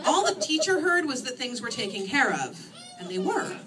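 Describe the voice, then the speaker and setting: Woman, high lively voice, wry theatrical story reading, distant microphone. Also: normal speed, background noise. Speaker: author reading own novel. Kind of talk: animated first-person story reading to an audience. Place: screening room, live reading.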